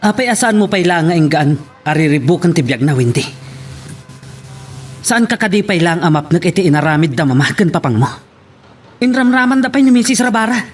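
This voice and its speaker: A man's voice: sits high, expressive, a little fast, pauses often, soft background music. A man playing an earnest, concerned young man in an audio romance drama. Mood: reproachful. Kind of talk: Ilocano radio-style romance drama acting.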